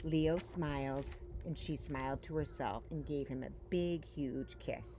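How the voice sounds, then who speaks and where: A woman's voice: deep voice, unhurried, warm tone. A woman reading a children's picture book aloud as a story-time reader, expressively and with dramatic emphasis. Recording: faint background noise.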